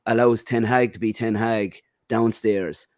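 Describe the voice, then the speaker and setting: An Irish man with a low voice argues his football opinions on a podcast panel. His talk is blunt and sprinkled with "um" and "you know", heard dry and close. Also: steady tone, a little slow, a little mellow, no room sound. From Ireland. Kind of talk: casual football podcast chat.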